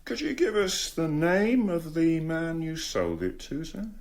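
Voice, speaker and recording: Sly police inspector with swooping delivery. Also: male voice, a little high, very animated. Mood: probing, sardonic. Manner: measured questioning with mock-polite pressure. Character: dogged, sly, sarcastic English police inspector. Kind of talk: radio drama acting.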